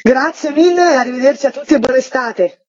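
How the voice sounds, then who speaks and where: Woman, husky voice, enthusiastic chatty interviewee, slightly dull dry audio. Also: sits high, a little fast, darkish tone, dry recording. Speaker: theatre festival curator, interview guest. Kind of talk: informal promotional radio interview.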